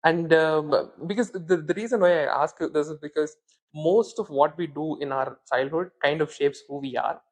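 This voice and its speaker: A man hosting a podcast interview, asking questions in a halting way with many fillers. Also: a little high. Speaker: podcast host.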